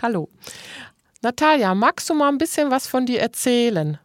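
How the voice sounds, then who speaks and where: A woman's voice: on the high side, sing-song, normal speed, dry recording, studio quality. A woman in her forties hosting and moderating an interview, friendly and encouraging, affirming her guests with short interjections. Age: 40s. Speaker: host, project leader.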